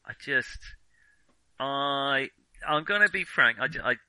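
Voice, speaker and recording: Guy on a film podcast, really bright edgy voice, dry sound, keeps hedging and restarting his sentences. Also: medium pitch, expressive, clean tone, dry recording. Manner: halting, hedging, often restarts sentences mid-thought. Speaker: podcast co-host. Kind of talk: casual film discussion.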